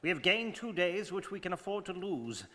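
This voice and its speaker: A man playing a precise, courteous, unruffled English gentleman traveller in an old-time radio drama. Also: high-pitched, big pitch swings, normal speed. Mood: curt, unruffled. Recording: long hall reverb on stage voices.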